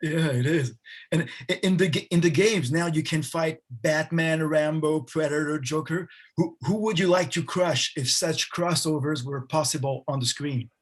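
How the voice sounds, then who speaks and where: A man with a French accent, interviewing over a video call and asking friendly questions in quick bursts. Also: a little high. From France.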